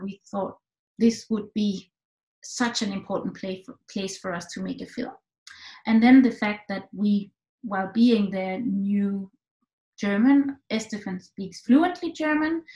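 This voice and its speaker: A woman's voice: mid-range voice, gravelly, reverberant, poor audio. A middle-aged woman from Denmark, a film director answering questions on a video call, thoughtful and full of restarts and fillers. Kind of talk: online film talk answers. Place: home room with echo. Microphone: computer mic on a video call.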